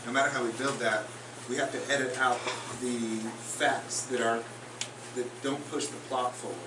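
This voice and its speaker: Man, songwriter, reflective onstage talk; echoey distant live recording with clear noise. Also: medium pitch, flat delivery, moderate pace. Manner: thoughtful, searching for words. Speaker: songwriter on a panel. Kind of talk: casual onstage discussion. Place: concert house, live in front of an audience. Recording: roomy live hall, distant, noisy.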